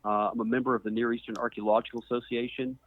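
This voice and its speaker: A middle-aged man from Mississippi speaking as a podcast guest, explaining his background conversationally with measured pauses. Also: medium pitch, little pitch movement. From Mississippi, US Deep South. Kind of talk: conversational account of own background. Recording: muffled phone-like narrow band.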